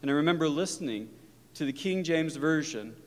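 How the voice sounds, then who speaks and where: A man's voice: on the high side, lively intonation, a little fast. A male professor of religion giving an earnest, reflective university lecture that mixes in personal stories. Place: lecture hall. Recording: long reverb of a lecture hall.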